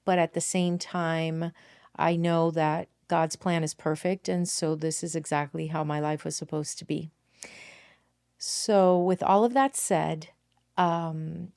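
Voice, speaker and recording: A woman in her fifties talks through her own story as a podcast host, her voice low and smooth. She speaks earnestly and reflectively, often pausing on fillers and correcting herself mid-sentence. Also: a little mellow. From Miami, Florida, United States. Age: 50s. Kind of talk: personal storytelling monologue.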